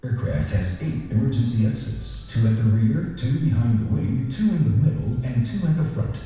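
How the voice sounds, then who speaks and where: Male announcer, very dark and mellow tone, lively pitch, heard through speakers in a roomy hall with faint music. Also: normal speed. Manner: evenly paced, instructional. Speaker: safety announcer. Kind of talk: recorded safety announcement. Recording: video played back into an echoey hall.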